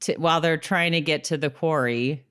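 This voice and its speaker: Low-voiced woman chatting casually. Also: moderate pace, fairly bright tone, smooth. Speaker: podcast co-host. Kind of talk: casual chat recapping a TV episode.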